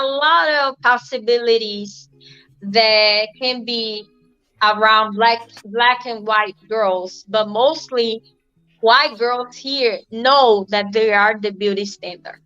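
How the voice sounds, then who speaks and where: Bright Brazilian-accented woman, earnest. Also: on the high side, no echo, muffled recording. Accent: Brazilian Portuguese. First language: Portuguese. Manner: earnest, explains point by point with repeats. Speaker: guest, lawyer. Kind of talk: casual interview answers.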